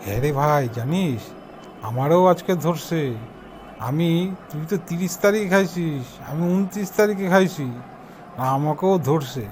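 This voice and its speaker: A man's voice: on the high side, lively intonation, normal speed, a little hiss or hum, natural voice. A man playing an aggrieved young pal in an amateur comic cartoon, chatty and complaining in an eastern Bengali accent. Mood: grumbling, commiserating. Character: aggrieved young male pal, gossiping and grumbling.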